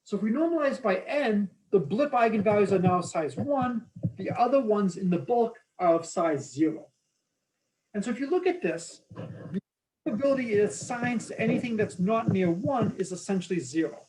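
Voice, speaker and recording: A man's voice: high-pitched, lively intonation, normal speed, a little mellow, rough recording. A man with a Boston accent giving an academic math lecture, explaining slides in an informal, enthusiastic way with casual asides. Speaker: mathematics lecturer.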